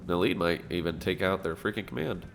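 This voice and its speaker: A man commentating a strategy game match, sounding nasal from allergies, reacting excitedly to the action. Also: sits low, vocal fry, noisy background. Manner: excitable, fast reactions and laughs. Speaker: gameplay commentator.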